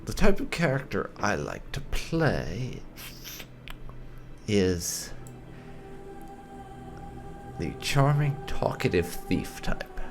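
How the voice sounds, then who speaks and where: A man's voice: lowish voice, huge pitch swings, extremely slow, long pauses, over music. A man giving casual, chatty, explanatory commentary as he hosts a video game playthrough. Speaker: gaming video host.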